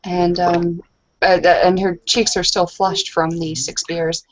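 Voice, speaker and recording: A woman's voice: fairly low pitch, level tone, a little slow, highs cut off. A woman narrating and chatting informally as a tabletop roleplay player, a little hesitant. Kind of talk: casual out-of-character chat and narration.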